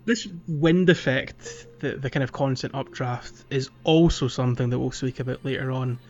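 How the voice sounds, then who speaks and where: A man's voice: mid-range voice, moderate pace, soft background music. British man, muffled podcast host voice. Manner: friendly, laughs often, steers the talk. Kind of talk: casual gaming podcast chat.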